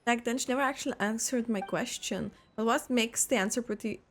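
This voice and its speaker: A woman's voice: a little high, brisk, slight background noise. A woman giving casual live reaction commentary while playing through a video game story.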